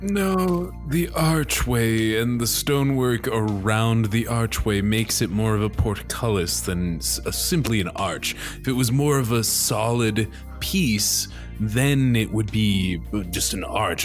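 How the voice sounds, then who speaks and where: Male tabletop player, slightly low voice, wordy and precise in-character banter, music underneath. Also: a little slow.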